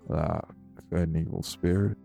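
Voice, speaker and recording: A man playing a morose older wraith in an audio drama, blunt, folksy and scolding, with a husky, gravelly voice. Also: steady tone, measured pace, faint music behind. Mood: exasperated. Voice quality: very gravelly, husky, dark low voice. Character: morose older male wraith, rural homebody, stern but hospitable. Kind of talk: dramatic audio roleplay acting.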